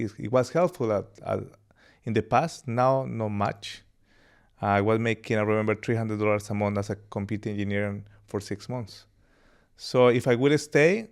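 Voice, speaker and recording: A man in his thirties from Colombia with a Colombian accent and Spanish as his first language, telling his life story as a podcast guest in a relaxed, rambling way. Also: fairly low pitch, clean tone. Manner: relaxed, laughs easily, rambling anecdotes. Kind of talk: casual interview storytelling.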